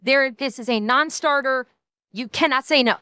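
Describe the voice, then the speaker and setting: A woman's voice: sits high, moderate pace, bright, forceful tone, clear, resonant tone, no echo. A woman giving emphatic, interjecting live-stream reaction commentary, sometimes in a mock-commanding way. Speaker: reactor commenting on a comedy video.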